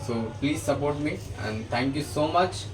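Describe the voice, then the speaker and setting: A man's voice: fairly high pitch, normal speed. A man with a Hindi mother tongue and an Indian English accent, teaching English grammar in a mix of Hindi and English, often stammering and restarting his sentences. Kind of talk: bilingual grammar lesson. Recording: distant mic with noticeable background noise.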